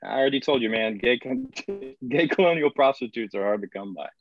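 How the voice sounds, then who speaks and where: A man's voice: medium pitch, expressive, fairly quick, soft background music, close to an everyday voice. Man acting a flippant colonial governor, dull audio. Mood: sarcastic, joking. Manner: flippant, sarcastic. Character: cocky, irreverent male colonial governor who banters crudely. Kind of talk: screenplay table read.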